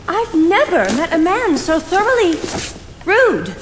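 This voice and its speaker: High, haughty, prim young woman. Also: big pitch swings, a little slow, exaggerated voice. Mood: disdainful. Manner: haughty, formal, crisply disapproving. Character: prim, judgmental, outspoken young woman visitor. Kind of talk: stage play acting. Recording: echoey theatre stage with background noise.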